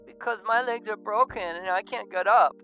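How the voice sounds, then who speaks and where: A man's voice: sits high, normal speed, sharp tone, lo-fi sound, soft background music, voice pushed up. A man voicing a playful, teasing young male furry animal in a visual novel reading. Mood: playful, tipsy.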